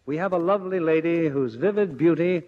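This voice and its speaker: A man's voice: a little high, a little fast. A man hosting in scripted, theatrical 1950s radio style, polished and formal. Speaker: radio theatre host and producer. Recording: dull old radio broadcast recording.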